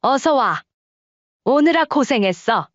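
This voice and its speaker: A woman voicing a caring middle-aged mother who speaks gently and with concern, recorded dry and slightly dull. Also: high-pitched, expressive, takes it slowly, no echo.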